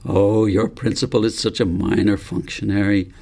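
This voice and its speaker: A man reading the part of a wise old grandfather in a storybook, calm and patient, explaining things in a measured, philosophical way. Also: lowish voice, gravelly, slightly rough. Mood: firm, wise.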